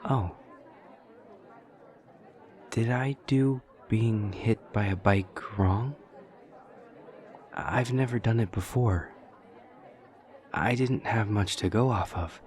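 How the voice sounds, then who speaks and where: A man playing an awkward, earnest, literal-minded demon college student in an audio roleplay, speaking in a stilted, over-precise, deadpan way with hesitant pauses. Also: lowish voice, a faint crowd. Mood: earnest, puzzled. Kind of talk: intimate audio roleplay acting.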